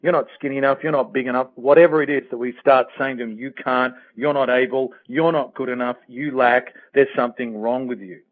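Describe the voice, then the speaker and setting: A man's voice: medium pitch, fairly even intonation, a little fast, few pauses. A man with an Australian accent speaking as a guest life coach in a motivational interview, earnest and emphatic, repeating phrases for effect. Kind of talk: motivational interview talk with personal anecdotes. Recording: thin, phone-like radio sound.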